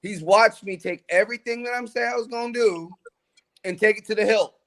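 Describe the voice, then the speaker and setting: A man's voice: sits high, fairly quick, fairly bright tone. A man in his forties, a track coach, talking in a casual podcast conversation in an emphatic, motivational way. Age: 40s.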